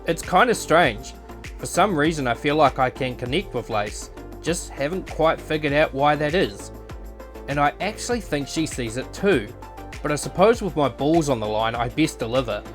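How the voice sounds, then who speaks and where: A man's voice: fairly high pitch, animated, normal speed, faint music behind, lightly acted. A man voicing a cool, self-assured young tournament fighter in a visual novel drama, laconic and nonchalant. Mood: thoughtful, cool.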